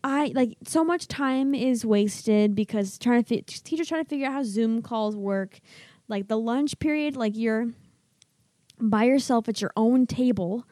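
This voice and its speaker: Teen girl co-host, casual chatter, filler-heavy, a bit high, mellow, dry sound. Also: a little slow, no room sound. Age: teens. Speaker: podcast co-host. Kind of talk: casual family chat.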